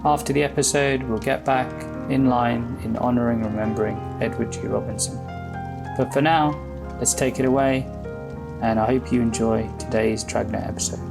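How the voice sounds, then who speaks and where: A man hosting a podcast, reading scripted narration in a measured, formal way. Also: medium pitch, fairly even intonation, vocal fry. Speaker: podcast host. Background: prominent guitar music.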